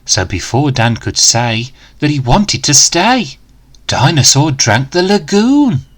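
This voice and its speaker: A man reading a rhyming picture book aloud to children in an expressive, dramatic, deliberate way. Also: medium pitch. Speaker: storyteller for children.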